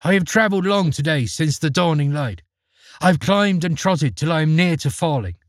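A man's voice: fairly high pitch, expressive, moderate pace, no room sound, clean studio sound, voice pushed up, close to an everyday voice. A man voicing Jack, a polite, hungry young boy who pleads in a raised voice.

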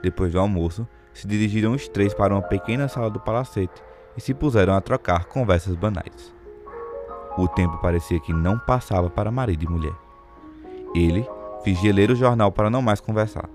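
A man's voice: fairly low pitch, a little fast, music in the background. A man giving scripted literary narration for an audio drama.

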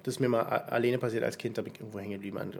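A man's voice: fairly low pitch, steady tone, racing, vocal fry, a little mellow, husky. A young man co-hosting a podcast in casual chat, hesitant, with many fillers and restarts. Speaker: podcast co-host.

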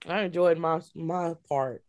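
A woman's voice: low-pitched, fairly even intonation, measured pace, a little mellow. A woman answering casually as a cast member in an interview. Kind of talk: casual chat.

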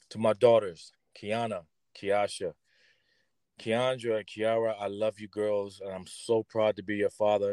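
A middle-aged American man with a fairly low voice talks off the cuff as a guest. His delivery is informal and heartfelt, and the sound is dry with little room around it. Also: a little mellow, no echo. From Pontiac, Michigan, United States. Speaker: podcast guest, performing artist. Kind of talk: casual conversational interview talk.

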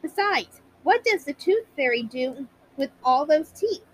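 Woman reading as a high-pitched curious little boy turtle. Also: expressive, unhurried. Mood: puzzled, anxious. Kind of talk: children's storybook character reading.

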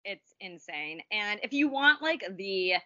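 A woman's voice: mid-range voice, big pitch swings, measured pace, pushed and bright, dry recording, highs cut off. A young woman co-hosting a podcast in casual chat, bubbly and giggly. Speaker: podcast co-host.

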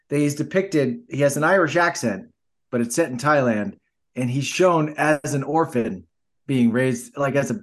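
Halting man chatting on a film podcast. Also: on the high side, fairly bright tone. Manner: halting, repeats words while searching. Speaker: podcast co-host. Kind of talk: casual film chat with insider anecdotes.

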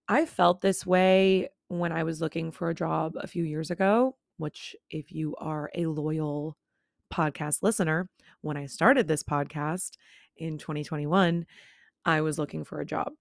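Low, gravelly-voiced young woman chatting. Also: no echo, studio quality. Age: 20s. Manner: chatty, candid, laughs between words. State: voice still recovering from losing it. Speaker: podcast host. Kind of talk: casual solo podcast chat. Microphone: own studio microphone, close.

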